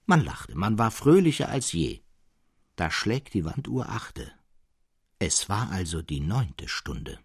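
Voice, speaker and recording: Male narrator for gothic literature: low, slow, breathy, solemn and theatrical, with suspenseful delivery over faint music. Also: sing-song, no room sound.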